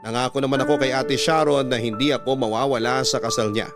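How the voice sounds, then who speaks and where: Male narrator, Filipino accent, mid-pitched, reflective letter reading, sorrowful, clear music bed. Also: steady tone, fast. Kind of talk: scripted dramatic narration.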